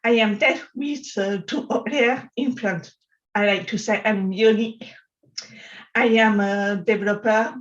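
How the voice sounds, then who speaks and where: Deaf French woman, conference speaker, slow careful delivery, slightly dull audio. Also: mid-range voice. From France. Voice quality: deaf speech. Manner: slow, careful speech with pauses. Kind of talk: prepared conference talk.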